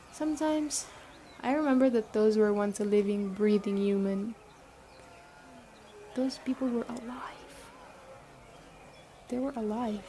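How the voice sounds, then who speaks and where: A woman playing a young zombie-apocalypse camp chief in a roleplay audio drama, explaining things conversationally to the listener with hesitant pauses. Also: fairly high pitch, dark tone, slight background noise. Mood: wistful.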